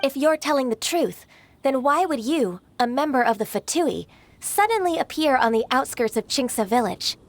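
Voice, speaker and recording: Poised young game official, female. High voice, measured formal delivery, politely stern. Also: lively intonation, dry recording. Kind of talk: video game voice acting.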